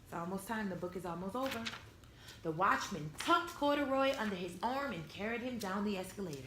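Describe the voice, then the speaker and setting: A woman reading a storybook aloud to young children, expressively, pausing to retell and explain it in simple words. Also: mid-range voice, expressive. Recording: echoey room with some background noise.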